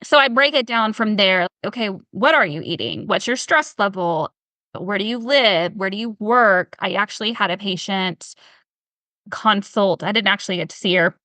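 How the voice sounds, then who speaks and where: A woman with a Southern US accent, a health professional talking through a podcast interview on a video call, animated and firing off rhetorical questions. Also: mid-range voice, moderate pace, dry recording. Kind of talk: casual podcast conversation.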